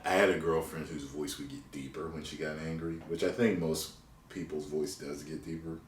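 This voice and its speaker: Casting note: male host, very low voice, casual and explanatory, recorded far off in an echoey room. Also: fairly even intonation, moderate pace. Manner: loose, explaining, swears casually. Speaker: channel host. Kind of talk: casual chat. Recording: roomy and distant with faint noise.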